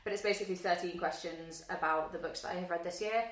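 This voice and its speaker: Girl rambling about books, lots of ums, kinda low voice, roomy echo. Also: fairly even intonation, fast. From London, England. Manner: self-deprecating, wry, many fillers. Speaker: book vlogger. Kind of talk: casual self-introduction to camera. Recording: echoey room, a little far from the mic.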